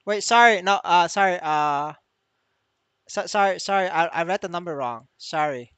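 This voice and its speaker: Bright-voiced man streaming, stammering. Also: high voice, moderate pace, clear, resonant tone, dry recording. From Vietnamese. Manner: stammering, repeats words, swears freely. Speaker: game streamer. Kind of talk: casual livestream chat.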